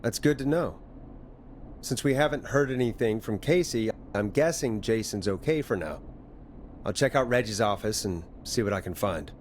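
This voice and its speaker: An actor playing a steady late-night radio DJ in a video game, a man who is reassuring but dryly exasperated. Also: on the low side, normal speed, slight background noise, natural voice. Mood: determined. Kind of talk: video game voice acting, conversational.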